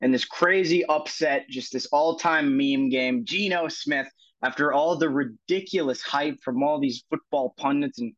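A man's voice: fairly high pitch, fairly bright tone, dry recording. A young man co-hosting a football podcast, arguing animatedly with lots of stammered restarts. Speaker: podcast co-host. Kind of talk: casual football podcast chat. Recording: dull dry lo-fi sound.

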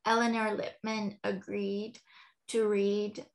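A woman author, a guest on a podcast, chatting in a relaxed way about her life and telling stories. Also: mid-range voice, takes it slowly, slight background noise. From Iran. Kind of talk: casual interview chat.

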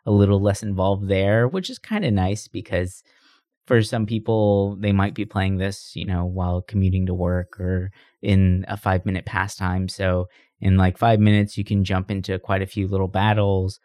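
A man's voice: low voice, steady tone, moderate pace, a little mellow, dry recording, studio quality. A man co-hosting a podcast, chatting casually about games in a rambling, informal way. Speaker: podcast co-host. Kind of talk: casual gaming chat.

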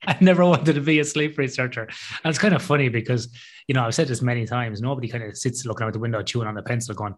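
A man's voice: mid-range voice, fast talker. A man hosting a podcast in a casual interview style, chatty and given to joking and teasing. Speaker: podcast host.

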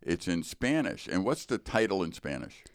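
Deep-voiced American man hosting a podcast. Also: big pitch swings, moderate pace, no echo, studio quality. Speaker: podcast host. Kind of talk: conversational interviewing. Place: podcast studio.

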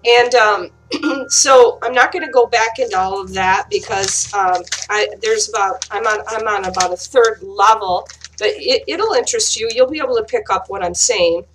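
Woman, preacher, dry voice, halting delivery, many fillers, faint music underneath. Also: medium pitch, a bit bright, low-quality recording. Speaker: online preacher. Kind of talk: informal teaching broadcast.

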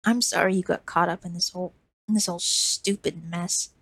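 Gravelly-soft and breathy, this is a dying old crone, as a woman reader puts her on, wheezing between coughs and sounding worn out. The voice is husky, close and dry, with no room around it. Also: lowish voice, fairly even intonation, brisk, dry recording. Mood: weak. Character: dying old woman wanderer, weary and remorseful.

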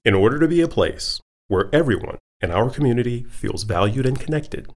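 A man narrating a podcast introduction in a measured, announcer-like scripted style. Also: mid-range voice, animated. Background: guitar music.

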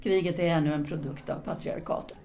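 Actress as mellow, cutting woman intellectual. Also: sits low, steady tone, close to an everyday voice. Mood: defiant. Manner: cool, cutting, formal. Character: hard, scornful, self-possessed woman intellectual, a survivor. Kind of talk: audio drama dialogue.

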